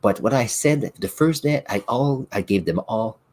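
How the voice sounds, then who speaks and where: A man's voice: medium pitch, animated, moderate pace, darkish tone. A middle-aged man whose first language is French, hosting his own podcast and explaining ideas with classroom examples. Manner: reflective, explains with examples, occasional restarts. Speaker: podcast host and science teacher. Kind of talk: solo podcast talk.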